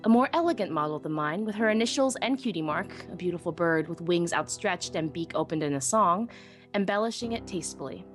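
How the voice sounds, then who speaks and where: A woman playing a shy, self-deprecating young pony technician who narrates her own story in an expressive, wistful, wry way. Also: on the low side, brisk, faint music behind, lightly acted. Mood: flustered, eager. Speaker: first-person narrator. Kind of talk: dramatic live audiobook reading.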